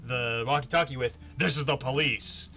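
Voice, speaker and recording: A man in his twenties chatting casually over a game, telling stories in a halting way and repeating phrases. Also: mid-range voice, a crowd in the background. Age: 20s. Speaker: gamer telling stories while playing. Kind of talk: casual banter over gameplay.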